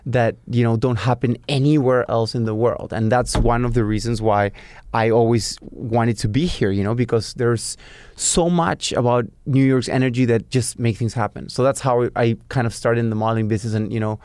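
A man's voice: mid-range voice, steady tone, normal speed, darkish tone, clear, resonant tone, dry recording. A young man from Peru, a guest chatting casually in an interview and filling his sentences with "you know" and "um". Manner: chatty, heavy use of "you know" and "um" fillers.

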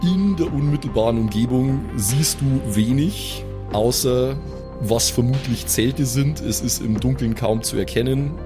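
A man narrating an improvised tabletop role-play story as game master, in a deliberate, suspenseful storytelling manner. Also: mid-range voice, music in the background.

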